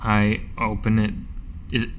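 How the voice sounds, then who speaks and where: A man's voice: lowish voice, monotone, a little slow, slight background noise. A man chatting casually as a player at a tabletop roleplaying game.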